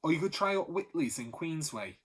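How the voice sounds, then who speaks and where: A man's voice: on the high side, brisk, husky, voice pushed up. A man voicing a mild, easygoing father in a storybook. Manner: mild, calm.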